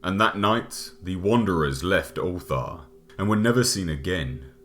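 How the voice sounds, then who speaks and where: A man reads an old gothic tale as an audiobook narrator, solemn and measured, letting his phrases fall away at the ends. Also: deep voice, lively intonation, a bit bright, a little hiss or hum. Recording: music behind the voice. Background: background music.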